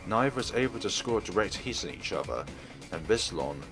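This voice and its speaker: A man's voice: fairly low pitch, sing-song, a little fast, over music. A man narrating a visual novel aloud as a let's play host. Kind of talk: reading visual novel aloud, narrating and doing character voices.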